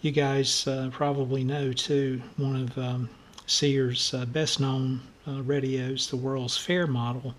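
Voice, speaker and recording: Man, scratchy soft voice, even monotone pitch, casual show-and-tell, lots of uh and um. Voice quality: halting, many uh and um fillers. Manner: friendly, storytelling. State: scratchy, somewhat soft voice from allergies. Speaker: radio restoration channel host.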